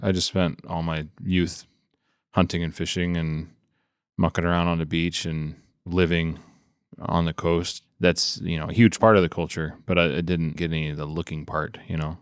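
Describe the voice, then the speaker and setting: A man's voice: low voice, level tone, normal speed, clear, resonant tone, dry recording, clean studio sound. A man in his thirties from coastal Alaska, telling his story casually and informally. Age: 30s. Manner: informal, frequent "like" and "you know".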